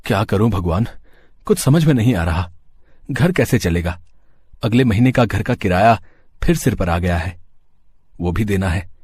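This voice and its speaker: A man's voice: on the low side, expressive, moderate pace, pauses often, a little mellow, slightly rough, no echo. A man voicing a humble, caring husband and street sweet seller in a cartoon story, earnest in manner. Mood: worried.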